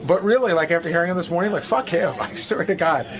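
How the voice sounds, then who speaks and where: A man's voice: on the high side, animated, quick pace. A male radio staffer answering interview questions candidly, blunt and emphatic, repeating himself. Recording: busy crowd chatter behind. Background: crowd noise.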